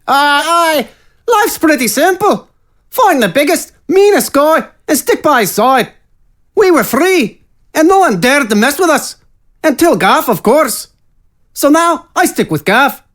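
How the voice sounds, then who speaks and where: A man's voice: very high voice, animated, measured pace, a bit bright, exaggerated voice. A man playing a plain-spoken, folksy bandit henchman in a fantasy audio drama. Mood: breezy, matter-of-fact. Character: plain-spoken male bandit henchman, survival-minded follower.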